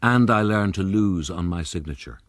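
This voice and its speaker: Actor as a deep-voiced rogue narrator. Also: male voice, moderate pace, no room sound, clean studio sound, natural voice. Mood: reflective, urbane. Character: urbane Irish rogue recounting his youth. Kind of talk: scripted first-person radio drama narration.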